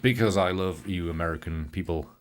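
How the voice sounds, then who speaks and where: Middle-aged man, British accent, very low voice, relaxed joking live-stream chat, home studio desk mic. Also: brisk. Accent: British English. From Britain. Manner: chatty and joking, greets viewers by name. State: tired. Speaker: livestream host. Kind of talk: casual live-stream chat while editing photos.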